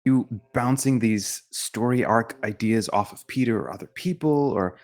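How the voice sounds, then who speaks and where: A man hosting a casual interview, thinking aloud as he works his way through curious, halting questions. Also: mid-range voice, a little mellow. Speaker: interviewer, host.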